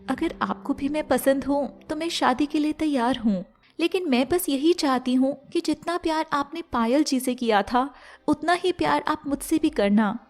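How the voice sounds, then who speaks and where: A woman voicing an emotional young stepmother and wife in a melodramatic animated moral story, speaking earnestly. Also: high-pitched, natural voice. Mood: tender.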